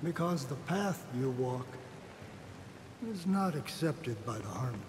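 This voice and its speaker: An actor voicing a grave, cryptic man in a video game, speaking solemnly and deliberately with pauses. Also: medium pitch, lively intonation, noisy background, natural voice. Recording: long reverb with rain and thunder.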